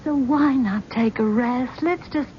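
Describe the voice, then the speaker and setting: An actress playing a devoted, wounded wife in a 1970s radio drama, tender and pleading. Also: female voice, high-pitched, a little slow. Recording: dull old radio broadcast with faint hiss.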